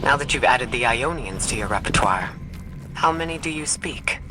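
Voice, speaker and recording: A man voicing a serious, protective ally in a science fiction video game, with a measured, knowing manner. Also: medium pitch, lively intonation, close to an everyday voice, poor audio. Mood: measured, curious. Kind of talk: video game cutscene acting. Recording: music behind the voice. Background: music.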